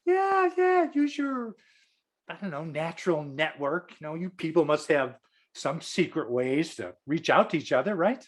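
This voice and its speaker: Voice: mid-range voice, very animated, normal speed. An actor playing a clueless, tactless politician boss in a comedic table read over a video call, stammering and exclaiming. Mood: flustered, scheming. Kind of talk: comedic script acting. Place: remote video call reading.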